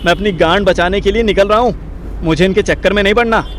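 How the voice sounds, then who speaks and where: Guy playing a high voiced grumbly young dude, sarcastic and sweary, audio drama, faint street noise behind. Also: fast, a bit bright. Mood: defensive. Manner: grumbling, wisecracking. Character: reluctant, sarcastic, foul-mouthed young man called Gaurav. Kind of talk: Hindi audio drama dialogue.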